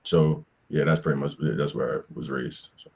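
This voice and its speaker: Very deep-voiced young man, husky. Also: fairly even intonation, normal speed, a little mellow. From Pennsylvania. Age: young adult. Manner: loose, rambling, slangy, lots of "like" fillers. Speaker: football player guest. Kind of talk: casual interview answers.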